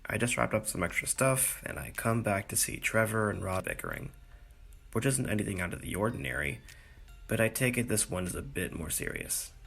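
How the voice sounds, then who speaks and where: A woman playing a dry, unruffled student in an audio drama, with a gravelly, husky voice. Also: a bass voice, expressive, a little fast, a bit bright, soft background music, exaggerated voice. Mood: calm, dry.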